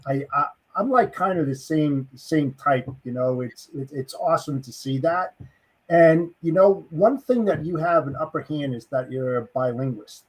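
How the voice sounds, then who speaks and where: Male podcast host, husky mid-pitched voice, casual and supportive, often stumbling over his words. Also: moderate pace, low-quality recording. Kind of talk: podcast interview.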